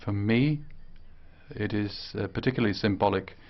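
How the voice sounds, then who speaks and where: A man interpreting a speech live, speaking in short, halting phrases. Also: fairly low pitch, lo-fi sound. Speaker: simultaneous interpreter.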